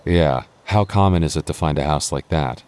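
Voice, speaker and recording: A man playing a deep-voiced, teasing goth boyfriend. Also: normal speed. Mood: affectionate. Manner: soft, intimate, playfully teasing. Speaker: voice actor. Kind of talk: boyfriend ASMR roleplay.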